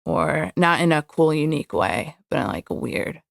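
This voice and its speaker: A woman's voice: sits low, expressive, moderate pace, creaky, dry recording, studio quality. A young woman whose first language is Hebrew, a podcast guest talking candidly and reflectively about her past. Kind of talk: candid personal interview.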